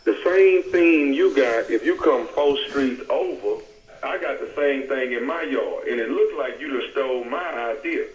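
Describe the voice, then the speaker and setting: A man's voice: mid-range voice, measured pace, distant mic, lightly acted. A man playing a confrontational, slangy neighbour, repeating his accusations in an improvised prank phone call. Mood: accusing. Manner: insistent, repetitive, slangy. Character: confrontational male neighbour accusing someone of stealing decoration idea. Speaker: prank caller. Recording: muffled, faintly noisy, roomy phone line.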